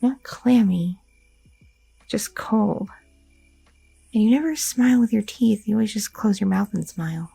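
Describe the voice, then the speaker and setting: A woman playing a gravelly, husky girlfriend. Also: medium pitch, unhurried, long pauses, mellow, no room sound, faint music behind, full character voice, poor audio. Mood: curious. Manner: rambling, inquisitive, filler-heavy talk. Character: curious, chatty young adult woman, an anxious girlfriend. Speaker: ASMR roleplay performer. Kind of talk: ASMR audio roleplay.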